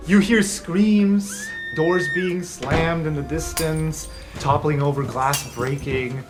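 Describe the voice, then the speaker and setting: A man's voice: a little high, steady tone, takes it slowly, over music. A man running a tabletop game, narrating the scene casually with plenty of fillers. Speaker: game master.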